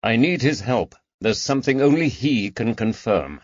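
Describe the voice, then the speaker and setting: A man voicing a grave, methodical detective in a period mystery audiobook, deliberate and commanding. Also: medium pitch, lively intonation, no echo, muffled recording, natural voice. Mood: grimly decisive.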